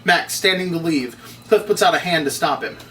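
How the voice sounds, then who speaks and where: A man's voice: fairly high pitch, expressive, normal speed, bright, forceful tone. A man narrating stage directions as he reads from a script at a table read. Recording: distant mic, noisy room.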